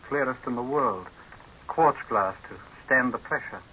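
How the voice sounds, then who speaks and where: Earnest diving doctor, formal and measured, mid-pitched, old radio horror drama, dull hissy recording. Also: male voice, bright, forceful tone. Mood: grave, explanatory. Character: deferential, earnest male doctor, deep-sea diving expert. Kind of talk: 1930s radio horror acting.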